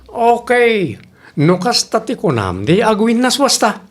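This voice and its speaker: A man's voice: high voice, very animated, unhurried. Man acting a swooping, pleading ex-boyfriend. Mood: put out, protesting. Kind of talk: Ilocano comedy drama acting.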